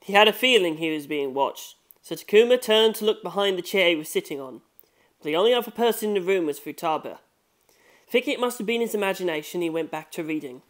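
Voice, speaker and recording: A narrator reading a scripted story in an expressive, measured storytelling manner. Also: mid-range voice. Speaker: audiobook narrator.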